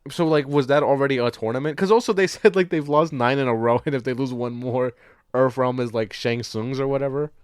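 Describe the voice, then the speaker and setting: Deep-toned man chatting casually on a podcast. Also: a little fast, clean tone, no echo, clean studio sound. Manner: rambling, slangy, profane, jokey. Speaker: podcast co-host. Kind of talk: casual movie discussion chat.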